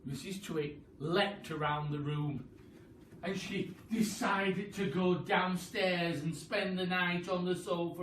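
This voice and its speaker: A man reading a children's story aloud in an expressive, deliberate storytelling style, with chatty asides. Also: on the high side. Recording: roomy, distant mic, faint noise.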